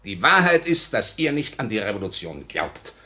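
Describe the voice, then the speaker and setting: An actor playing a bitter, uncompromising Russian revolutionary in a declamatory 1950 radio play style. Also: male voice, on the low side, sing-song, normal speed, pushed and bright, heavily acted. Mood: fanatical, scornful. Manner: declamatory, emphatic, rhetorical. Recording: vintage 1950 radio recording.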